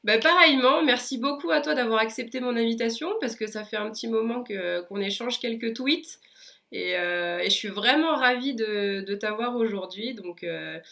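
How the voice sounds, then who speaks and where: A woman's voice: fairly high pitch, moderate pace, creaky, fairly bright tone. French woman host with a cold. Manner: friendly, upbeat questioning. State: has a cold. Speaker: interviewer, host. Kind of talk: casual interview.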